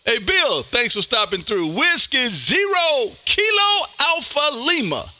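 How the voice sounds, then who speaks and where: A man's voice: extremely high pitch, sing-song, measured pace, bright, forceful tone, no room sound, studio quality. An American man from Texas hosting an amateur radio stream with casual, folksy chat and call-sign jargon. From Texas, United States. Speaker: amateur radio livestream host.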